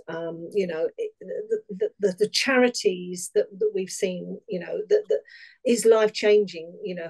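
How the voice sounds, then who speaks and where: A woman with a British accent and a croaky voice, giving an earnest webinar talk over a video call, with lots of ums. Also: fairly low pitch, darkish tone, low-quality recording. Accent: British English. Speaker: lieutenancy staff. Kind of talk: webinar presentation.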